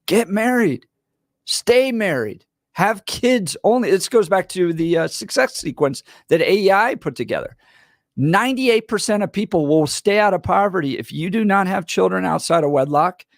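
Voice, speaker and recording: A man's voice: sits high, animated, normal speed, creaky, no echo. A man hosting a talk radio show, making emphatic points in list-like runs from a studio mic. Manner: emphatic, lectures in lists. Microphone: studio microphone.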